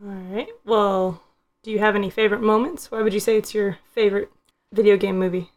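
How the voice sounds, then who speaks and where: A woman's voice: medium pitch, fairly even intonation, brisk, a little mellow. A woman in the role of host on a casual movie review show. She talks in a conversational way and often steers the others with short, simple questions. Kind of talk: casual group review chat.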